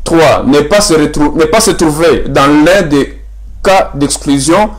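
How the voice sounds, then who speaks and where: Halting male interviewer, higher-pitched, Congolese French accent. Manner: halting, with repeated words. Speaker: journalist hosting a political interview. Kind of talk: formal broadcast interview.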